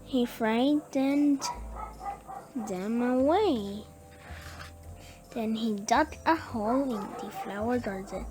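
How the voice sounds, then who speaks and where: A woman reading a children's bedtime story aloud in short, halting phrases, word by word. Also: a little high, darkish tone. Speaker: story reader. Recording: music playing behind the voice. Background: music.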